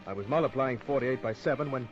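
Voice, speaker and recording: An actor playing a dry, world-weary private detective in an old radio crime drama, narrating and wisecracking. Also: male voice, mid-range voice, fast talker, lightly acted. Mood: wry, businesslike. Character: hard-boiled, wisecracking male private detective. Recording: muffled old radio recording with music.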